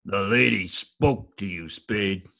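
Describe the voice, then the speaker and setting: Casting: male actor as gruff mob boss, sharp bright edge with some fry, slangy and bullying, 1940s radio style. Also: on the low side, slow pace, heavily acted. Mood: indignant, tough. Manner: tough, slangy, forceful. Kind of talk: 1940s radio crime drama acting. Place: home, recorded over video call.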